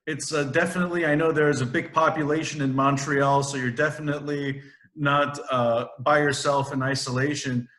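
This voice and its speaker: A man's voice: on the high side, steady tone, moderate pace, fairly bright tone. A man interviewing a boxer, asking long, carefully set-up questions. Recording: echoey room.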